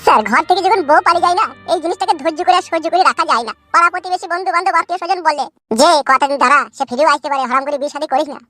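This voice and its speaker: A jilted village husband , voiced by a performer, wails through his heartbreak at a breakneck clip. The voice sits high and squeaky for a man, and he piles image on image in long laments. A cartoon voice over a soft music bed. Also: rapid-fire. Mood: aggrieved. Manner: long wailing complaints full of florid images. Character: jilted young village husband, self-pitying and dramatic. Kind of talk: cartoon dub acting.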